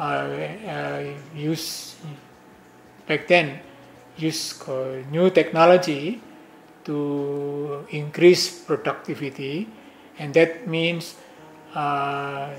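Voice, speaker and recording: A man's voice: on the high side, creaky, reverberant, a little hiss or hum. An older man, a professor lecturing with many fillers and restarts. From Indonesia. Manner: slow, halting delivery with many fillers and restarts. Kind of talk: academic lecture.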